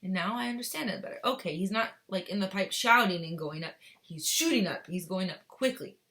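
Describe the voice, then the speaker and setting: A woman's voice: medium pitch, a little fast, bright, forceful tone. A woman teacher reading a children's story aloud expressively, with explanatory asides for young pupils. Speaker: second-grade teacher.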